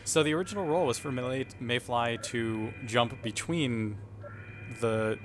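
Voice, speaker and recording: A man's voice: mid-range voice, a little slow, background noise. A man talking casually through rules and moves as a player in a tabletop roleplaying game.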